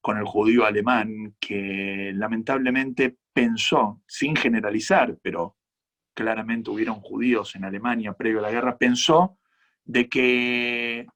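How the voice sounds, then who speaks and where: A man with an Argentine accent, a rabbi giving earnest, measured answers to audience questions at a conference talk. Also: medium pitch, a bit bright. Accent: Argentine Spanish. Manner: earnest, measured, reflective explanations.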